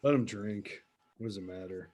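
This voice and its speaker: An actor playing a grim, resigned, protective man in a drama table read, speaking in a muted, low-key way. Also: normal speed, darkish tone, lightly acted. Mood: grim resignation.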